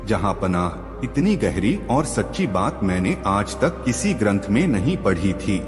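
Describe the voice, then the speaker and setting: A man's voice: on the low side, very animated, a little slow. A man voicing a proud elderly male court scholar who speaks with formal reverence to an emperor. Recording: long hall reverb with music bed.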